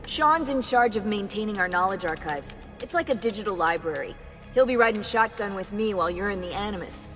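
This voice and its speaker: An actress playing an upbeat young tech expert, boastful and enthusiastic, in a game cutscene. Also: female voice, mid-range voice, brisk, big-room sound, soft background music, lightly acted. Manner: excitable, boastful. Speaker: technician running the machine.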